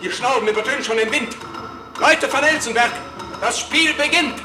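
An actor playing an urgent town announcer proclaiming ominous warnings over a loudspeaker in a radio play. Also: male voice, extremely high pitch, normal speed. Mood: urgent, mounting alarm. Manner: proclaiming, ominous, repetitive calls. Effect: public address loudspeaker. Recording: distant echoing loudspeaker announcement. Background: music.

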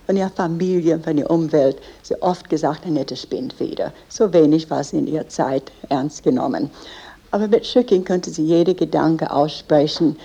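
Female tour guide with a foreign accent, earnest and explanatory, deep for a woman and mellow. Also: normal speed, vocal fry, breathy edge.

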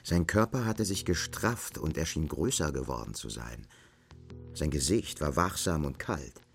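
A man's voice: very deep voice, animated, a little slow, gravelly, faint music behind. A man reading scripted literary narration as the narrator of a German radio play.

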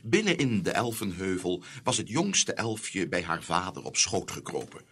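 A man narrating a fairy tale in an expressive, scripted storybook style. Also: sits low, moderate pace, breathy edge.

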